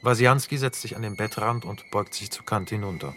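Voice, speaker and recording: A man narrating the scene directions of a radio drama in a measured, factual, neutral manner. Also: lowish voice, sharp tone, faint music behind. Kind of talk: scripted narration of stage directions.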